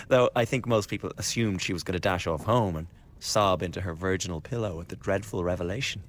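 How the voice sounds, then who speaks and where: Man acting a glib, low-voiced scheming conspirator. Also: normal speed, lightly acted. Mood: needling, gossipy. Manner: chatty, insinuating, blackly flippant. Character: glib, scheming young male revolutionary conspirator. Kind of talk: radio drama acting. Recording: studio radio drama with background ambience.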